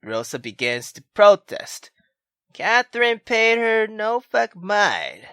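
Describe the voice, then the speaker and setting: A woman hosting a gaming video, reading a visual novel aloud with expressive, casual, sweary commentary. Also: medium pitch, slow, smooth, no echo, clean studio sound. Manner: expressive, swearing, exclamatory asides. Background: faint game music.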